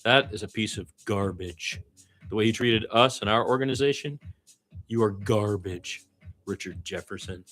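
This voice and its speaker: Forceful, bright-voiced male basketball play-by-play announcer. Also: normal speed. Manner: blunt, opinionated, repeats names and phrases for emphasis; animated, swinging from low asides to loud high calls. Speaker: radio play-by-play announcer for the home team. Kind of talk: excited partisan basketball play-by-play commentary.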